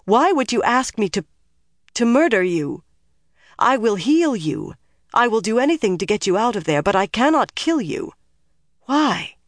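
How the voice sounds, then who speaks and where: A woman's voice: mid-range voice, normal speed, no echo, studio quality, voice pushed up, natural voice. A woman narrator voicing a frightened, stubborn young woman in an audiobook, pleading in a tremulous, emotional way. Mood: anguished refusal.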